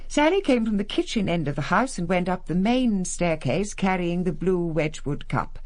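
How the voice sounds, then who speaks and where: Actress as a haughty English matriarch, low and swooping. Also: female voice, animated, normal speed, darkish tone, no room sound, close to an everyday voice. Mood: crisp, composed. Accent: British English. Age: middle-aged. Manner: imperious, dry, sardonic. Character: haughty upper-class English matriarch, coolly disapproving. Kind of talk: radio drama acting.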